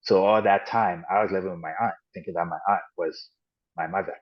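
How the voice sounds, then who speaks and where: A man raised in Ghana and the United States, a podcast guest recounting his childhood in a halting, reflective way with frequent restarts. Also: low voice, fairly even intonation. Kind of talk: personal storytelling in an interview. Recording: dull lo-fi audio.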